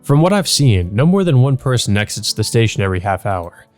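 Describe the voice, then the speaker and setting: A man's voice: mid-range voice, sing-song, fairly quick, darkish tone. A man narrating a visual novel playthrough, reading the text aloud and adding casual commentary. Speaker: let's play narrator. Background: background music.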